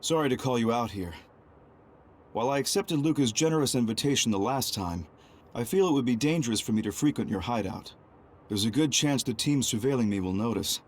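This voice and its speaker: A man playing a composed, calculating young double agent in a video game, speaking in a measured, formal, grave way. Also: medium pitch, lively intonation, close to an everyday voice. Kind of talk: anime-style video game cutscene acting.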